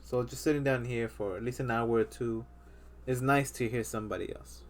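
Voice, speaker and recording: A man's voice: medium pitch, fairly even intonation, a little fast, a little hiss or hum. A man in his thirties hosting a casual podcast chat, rambling with lots of fillers and restarts. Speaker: podcast host.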